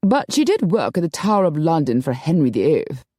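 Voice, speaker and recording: Woman reader as haughty vampire princess, archaic, commanding, mellow, close dry audiobook sound. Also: sits low, very animated, a little fast, no echo. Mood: haughty concern.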